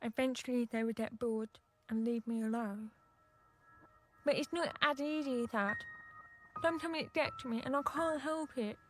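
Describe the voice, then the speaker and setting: An actress playing a frustrated, defiant young deaf woman in a radio drama, plainly confiding and quick to flare up. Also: a little high, faint music behind, lightly acted. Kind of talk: radio drama acting and first-person narration.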